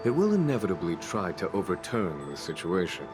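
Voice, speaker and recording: An actor playing a calm, cunning male general in a game cutscene, speaking in a smooth, knowing, unhurried way. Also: sits low. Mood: composed. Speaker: game character. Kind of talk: video game cutscene dialogue. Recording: game audio with loud music.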